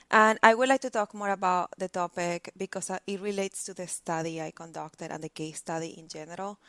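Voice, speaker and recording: A woman's voice: fairly low pitch, fairly bright tone, no echo. Venezuelan-born woman, earnest and explanatory. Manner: earnest, explanatory, with some restarts. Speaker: PhD researcher guest. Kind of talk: podcast interview.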